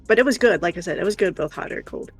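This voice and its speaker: A woman co-hosting a livestream in casual chat, rambling and hesitant as she thinks aloud, with a creaky voice. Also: fairly low pitch, lively intonation, fast, faint music behind. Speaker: co-host of an art livestream.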